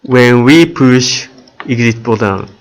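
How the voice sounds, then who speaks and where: A man giving a prepared student presentation on software, speaking halting, non-native English in a deliberate way. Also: mid-range voice.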